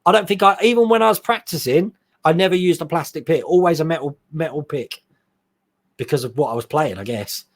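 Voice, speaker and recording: A man hosting a casual live stream from his home hobby room, reading out viewer comments in a chatty, rambling way with quick laughs. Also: on the high side. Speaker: host.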